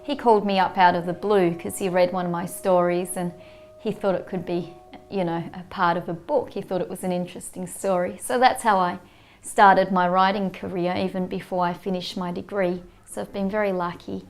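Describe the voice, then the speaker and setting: A woman's voice: on the low side, fairly even intonation, brisk. A woman in her thirties with an Australian accent, a writer answering interview questions in a thoughtful way with light laughs. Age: 30s. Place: indoor study with bookshelves.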